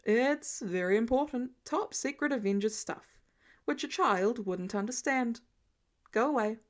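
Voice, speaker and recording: A woman's voice: a little high, expressive, a little slow. Woman as a flippant, childish billionaire genius man.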